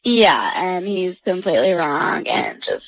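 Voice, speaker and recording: A woman's voice: lowish voice, animated, unhurried, dry recording, narrow, tinny audio. A young woman chatting casually on a call with a radio show, blunt and slangy. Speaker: person phoned by a radio show. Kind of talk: casual phone conversation.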